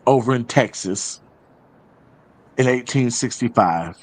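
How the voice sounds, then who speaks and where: A man's voice: mid-range voice, takes it slowly. Man hosting a casual show, repeating for emphasis. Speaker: show host and playwright. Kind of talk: casual show chat with announcements.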